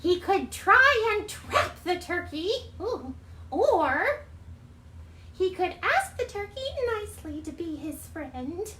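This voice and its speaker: A woman voicing an excitable female puppet bird who reads storybooks aloud with theatrical exclamations and droll asides. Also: extremely high pitch, slow, full character voice. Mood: bright storytelling. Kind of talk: casual read-aloud with playful asides on a livestream. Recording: roomy echo, faint background noise.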